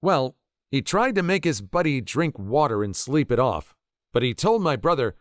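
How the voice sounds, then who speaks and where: A man reading internet stories aloud as a narrator, telling them in a lively, expressive way. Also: medium pitch, normal speed, no echo, clean studio sound. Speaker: story narrator.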